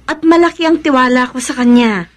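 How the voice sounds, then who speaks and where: A woman acts out a lovestruck, determined bride-to-be in a Filipino radio play. Her voice is on the high side. She speaks emphatically, with earnest affection. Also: a little fast, lightly acted. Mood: defiant. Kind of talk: Filipino radio drama acting.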